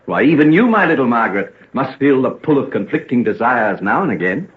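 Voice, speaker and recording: An actor playing an ardent young gentleman doctor with a darker side in a vintage radio drama, with romantic old-fashioned diction. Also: medium pitch, very animated, fairly quick, natural voice. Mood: ardent, teasing. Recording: tinny, noisy old radio recording.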